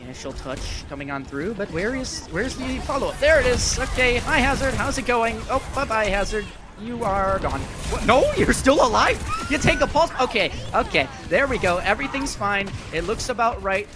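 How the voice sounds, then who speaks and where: A man's voice: high voice, very animated, normal speed, hardly any pauses, over music. A man giving live play-by-play commentary on an esports match, with a playful, wry way of talking and plenty of quips.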